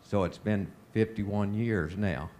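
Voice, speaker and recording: A man with a Texan accent tells a personal memory at a family meeting in a clear storytelling way. His voice is very low, with faint noise behind. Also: slow pace, a little mellow. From Texas. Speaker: brother of a missing pilot. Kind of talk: personal recollection.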